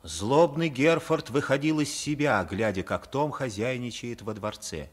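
A man's voice: mid-range voice, expressive, a little slow, slightly rough. A man reading scripted narration for a 1950s radio drama.

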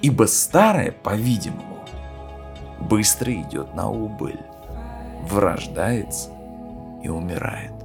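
A man playing a solemn poet, reciting a grave philosophical oration in a measured, declamatory way with emphatic pauses. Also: on the low side, sing-song, vocal fry, exaggerated voice. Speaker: reader of a poet's speech. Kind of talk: dramatic literary recitation in an audio play. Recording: music under the voice. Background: music.

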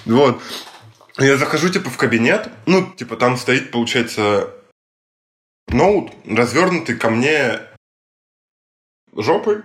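A young man co-hosting a podcast, telling a story in a casual, animated way, mimicking other people's lines and swearing often. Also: mid-range voice, unhurried, pauses often. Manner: animated retelling with acted-out quotes and heavy swearing. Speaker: podcast co-host.

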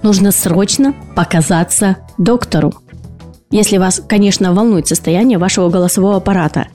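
A woman's voice: medium pitch, brisk, warm tone, faint music behind. A woman speech and voice therapist hosting an educational podcast, explaining patiently and posing rhetorical questions to her listeners.

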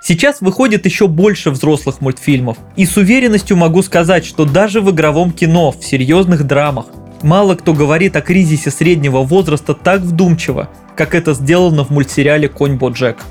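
Casting: man, fairly high voice, film critic reading a video essay with conversational asides, light music behind. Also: a little fast, a little mellow. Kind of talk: scripted commentary with conversational asides.

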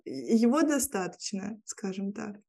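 Mellow-voiced woman from Lithuania. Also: mid-range voice, sing-song, measured pace, gravelly. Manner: reflective, searching for words. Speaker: PR specialist and journalist, guest. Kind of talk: casual interview conversation.

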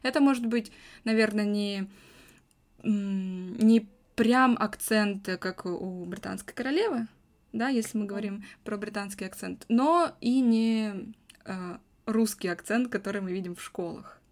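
A woman's voice: mid-range voice, a little slow, darkish tone. Chatty woman hosting a podcast. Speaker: podcast host and English teacher. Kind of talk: casual podcast conversation.

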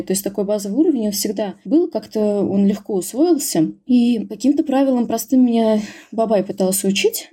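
A woman's voice: a little high, mellow. A young woman telling a podcast interviewer about her experience learning a language, in a candid, chatty and amused way. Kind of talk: casual interview talk.

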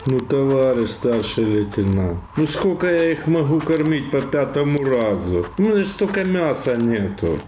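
Actor as a grumbling sergeant, swooping intonation. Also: male voice, medium pitch, sing-song, moderate pace, hardly any pauses, darkish tone, a little hiss or hum, lightly acted. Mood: complaining. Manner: plaintive, colloquial. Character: grumbling male army sergeant, complaining supply man. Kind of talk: radio play acting.

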